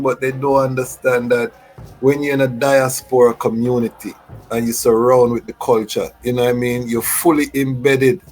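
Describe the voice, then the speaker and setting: A man born in Jamaica and raised in Toronto, interviewing casually in a relaxed way. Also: medium pitch, poor audio. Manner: relaxed, repeats "you know what I mean".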